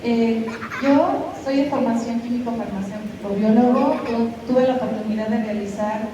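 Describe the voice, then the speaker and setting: A woman whose first language is Mixtec gives an earnest, measured science talk. Her voice is picked up from a distance in a big echoing hall, with long reverb and clear background noise behind it. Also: mid-range voice, lo-fi sound. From Guerrero, Mexico. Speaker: graduate student presenter. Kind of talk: academic presentation. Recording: roomy hall echo, distant mic, noisy.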